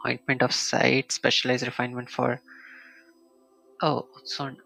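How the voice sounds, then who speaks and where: A man casually commentating a solo game live stream, muttering to himself and reading item text aloud in a halting way. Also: mid-range voice, monotone, creaky, bright, forceful tone, faint music behind.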